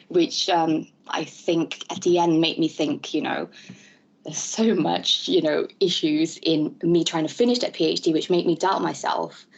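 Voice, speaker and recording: Soft-spoken woman from Malaysia, lo-fi. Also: on the low side, vocal fry. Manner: hesitant, many fillers and restarts. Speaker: interview guest, ADHD advocate. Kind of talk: conversational interview. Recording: dull lo-fi audio.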